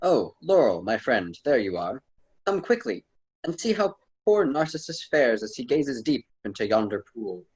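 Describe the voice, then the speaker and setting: A man's voice: mid-range voice, sing-song, normal speed, a little mellow, husky, rough recording. A man playing a courteous, lofty ancient poet and guide in a radio drama, speaking formally and theatrically. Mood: guiding.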